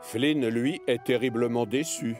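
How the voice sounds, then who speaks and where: Narrateur masculin de dessin animé, sur musique. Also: male voice, medium pitch, a little slow, music in the background. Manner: expressive storytelling. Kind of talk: scripted children's cartoon narration.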